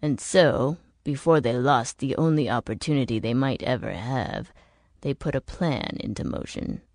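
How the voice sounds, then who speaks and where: A woman voicing a bitter, world-weary older man in an audiobook, explaining grim things in a measured, emphatic way. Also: extremely low pitch, lively intonation, a little mellow, dry recording, voice pushed down, full character voice. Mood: grave. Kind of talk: audiobook character dialogue.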